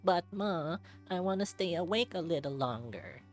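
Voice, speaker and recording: Man putting on a pleading young fox boy, high-pitched. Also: expressive, normal speed. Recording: music under the voice.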